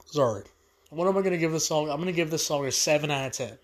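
A man's voice: on the high side, quick pace. A man with a stuffed-up voice from allergies, casually reviewing a song as host, rambling and repeating himself in easy slang.

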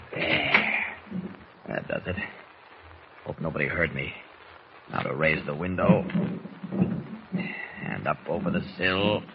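Confident old-time radio hero, real low voice, hushed, talking himself through it, noisy old recording. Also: male voice, creaky, highs cut off, exaggerated voice. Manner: hushed self-narration of his own actions. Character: bold, confident adult male hero working stealthily alone. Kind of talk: 1940s radio acting.

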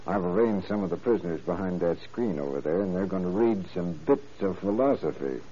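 An actor playing a calm, methodical county sheriff, measured and soothing yet authoritative, in 1930s radio acting style. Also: male voice, deep voice. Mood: calm, reassuring. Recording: muffled 1930s radio recording.

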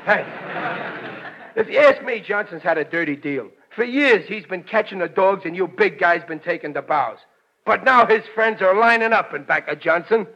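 A stubborn, naive American working stiff from 1940s radio comedy, blustering in broken grammar. His voice is high, husky and sharp, on a muffled old recording. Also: expressive, moderate pace, heavily acted. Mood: indignant. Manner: ungrammatical, stammering, plain-spoken bluster. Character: blunt, naive working-class everyman, stubborn and indignant.

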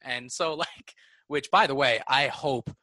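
A man's voice: mid-range voice, a little slow, bright, forceful tone, dry recording. A man chatting casually and swearing freely, with lots of filler "like.". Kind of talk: casual conversation.

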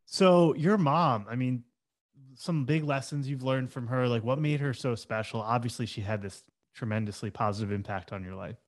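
Male podcast host, mid-pitched, short affirmations, casual questions. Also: normal speed. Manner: brief encouraging interjections and questions. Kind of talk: casual interview.

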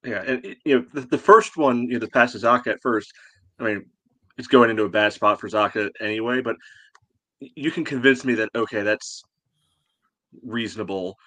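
A man co-hosting a soccer fan podcast, talking casually and haltingly with many a "you know". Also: mid-range voice, bright, forceful tone, dry recording. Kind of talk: casual sports recap discussion.

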